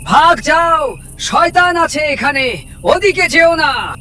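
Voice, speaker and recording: A man playing a raving madman who shouts frantic warnings in an audio horror story, shrill and drawn out. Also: lively intonation, slow, faint music behind, full character voice.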